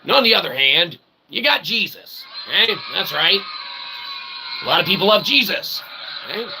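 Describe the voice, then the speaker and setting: A man's voice: high voice, lively intonation, moderate pace. A man hosting a comedy show with a theatrical showman's delivery, rambling in wordy, mock-formal phrases and repeated catchphrases. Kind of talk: rambling comic monologue to an audience. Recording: clearly noisy recording.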